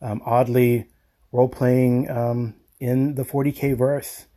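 A man with a dark, mellow voice leaves a casual message for a podcast. His intonation stays quite flat, and he rambles haltingly, letting phrases trail off. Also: mid-range voice. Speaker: podcast caller.

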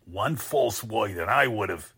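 A man's voice: fairly low pitch, lively intonation, takes it slowly, bright, forceful tone, breathy edge, exaggerated voice. A man playing a suave, sardonic, coldly threatening con artist who poses as a count, in a radio drama. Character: menacing male con artist posing as a Hungarian count.

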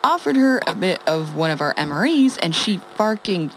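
Animated, wry woman survivor. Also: fairly low pitch, slight background noise, poor audio. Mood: wry, amused. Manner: wry, halting, emphatic, swears casually. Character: wry, foul-mouthed woman survivor, former radio host transmitting. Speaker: narrator of an audio drama. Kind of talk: conversational comedic monologue, as a ham radio log.

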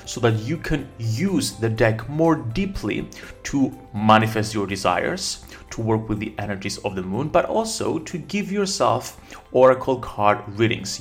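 A man hosting a podcast, speaking articulately and addressing listeners directly as he introduces and runs an interview. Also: mid-range voice.

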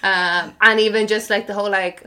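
A woman's voice: mid-range voice, fairly even intonation, brisk, sharp tone, clean tone. A woman in her twenties with an Irish accent, a native Irish speaker, chatting casually as a podcast guest and laughing often at herself. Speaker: anonymous guest.

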